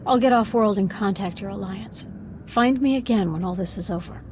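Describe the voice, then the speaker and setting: A woman's voice: medium pitch, noisy background, close to an everyday voice. Actress as earnest, reflective female Sith exile. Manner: measured, formal, sincere. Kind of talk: video game cutscene acting.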